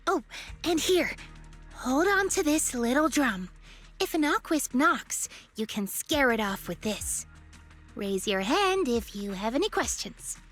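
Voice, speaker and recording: A woman's voice: high-pitched, big pitch swings, measured pace, a bit bright, faint music behind, full character voice. A woman playing a curious, playful young woman in a video game, with a teacherly, theatrical delivery. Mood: playfully instructive.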